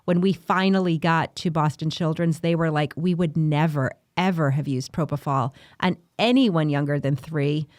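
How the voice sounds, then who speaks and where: A woman's voice: deep voice, normal speed, few pauses, a little mellow, no room sound, clean studio sound. A middle-aged woman hosting a podcast interview, asking questions in a casual, chatty way and sharing her own stories. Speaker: grief podcast host.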